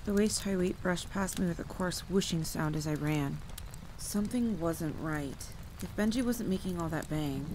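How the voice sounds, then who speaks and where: A woman performing a scripted first-person campfire tale in a dramatic, suspenseful storytelling manner. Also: low-pitched. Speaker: audio roleplay performer and storyteller. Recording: clear background noise of rain and thunder. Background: rain, rolling thunder and outdoor ambience.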